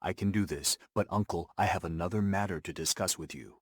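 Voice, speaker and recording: An actor playing an earnest, principled Lakota man in a video game, with a measured, firm and serious manner. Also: low voice, slightly rough, no echo, studio quality. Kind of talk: scripted game cutscene acting and narration.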